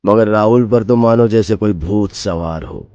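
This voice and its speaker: A man's voice: on the low side, measured pace, no room sound, dull audio. A man narrating a scripted horror story in a dramatic storytelling style. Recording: loud music behind the voice. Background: music.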